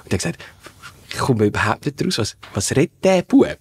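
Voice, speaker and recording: A man in his fifties talks in relaxed Swiss German at an even middle pitch, spinning easygoing anecdotes and dry little jokes. He sits at a table in a dark podcast studio, close to a desk mic on a boom arm. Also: fast talker, husky. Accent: Swiss German, Central Swiss mix. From Switzerland, canton of Bern. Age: 50s. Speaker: podcast guest. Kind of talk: casual conversation.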